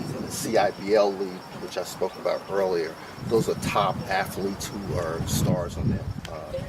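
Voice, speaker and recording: A man with a New York accent, a youth basketball coach giving an earnest, motivational interview. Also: fairly low pitch. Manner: earnest, motivational, explains patiently. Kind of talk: on-location interview. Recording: distant mic, loud street noise.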